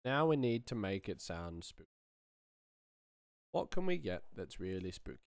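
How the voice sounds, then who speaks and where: A man's voice: low voice, sing-song, normal speed, creaky, clean tone. A man in his thirties giving a casual demonstration as a sound designer, thinking aloud at a close mic. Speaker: sound designer, tutorial host.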